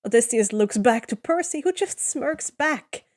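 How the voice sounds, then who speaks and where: A woman narrates a piece of fan fiction as a dramatic story. Her voice sits a little high and she takes her time, reading at a clearly slow pace. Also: expressive, darkish tone.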